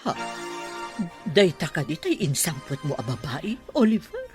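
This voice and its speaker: A woman's voice: sits low, wildly swooping pitch, moderate pace, music in the background. A woman playing a haughty, inquisitive rich mother in a radio drama, with an Ilocano Filipino accent. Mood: suspicious. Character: Oliver's wealthy mother, inquisitive, haughty matriarch.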